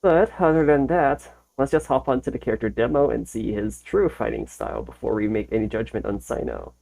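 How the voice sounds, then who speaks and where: A man's voice: mid-range voice, sing-song, a little fast, creaky, darkish tone, low-quality recording. A man hosting a reaction video with casual commentary in an informal, enthusiastic, slangy way.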